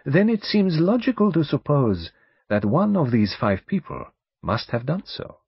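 A man voicing a courteous, methodical foreign detective in an audiobook, with polite, measured and formal phrasing. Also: a little high, big pitch swings, slightly rough, no echo, dull audio, pitched above the performer's own voice, exaggerated voice. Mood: polite, probing. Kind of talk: audiobook character dialogue.

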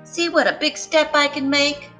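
A woman voicing an eager, spirited young girl in a storybook, with expressive delivery. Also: sits high, moderate pace, soft background music, voice pushed up. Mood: excited, pleading. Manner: pleading, expressive.

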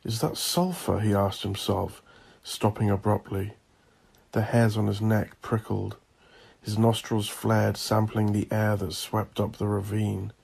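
A man narrating scripted horror fiction in an ominous, deliberate, theatrical way, with a gravelly, husky voice. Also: fairly low pitch, completely flat delivery, darkish tone.

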